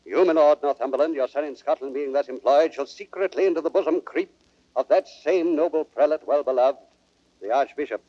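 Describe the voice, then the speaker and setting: A man as measured, scheming nobleman, muffled. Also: a little high, warm tone, dry recording, natural voice. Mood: conspiratorial. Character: scheming, measured nobleman plotting against the king. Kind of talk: old radio-style Shakespearean acting.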